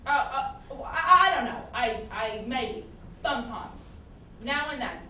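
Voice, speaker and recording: A woman's voice: high voice, expressive, measured pace, pushed and bright, exaggerated voice. An actress playing a guarded, neurotic therapy patient in a comic stage play, flustered and stammering as she talks. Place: stage performance at a college, distant microphone. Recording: echoey hall from far off, noticeable noise.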